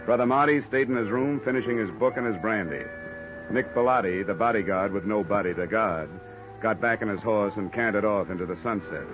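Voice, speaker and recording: An American actor playing a cool, wisecracking hardboiled investigator in an old-time radio noir, with a dry, deadpan delivery. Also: male voice, fairly low pitch, a little fast, natural voice. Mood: wry narration. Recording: dull vintage radio recording, music behind.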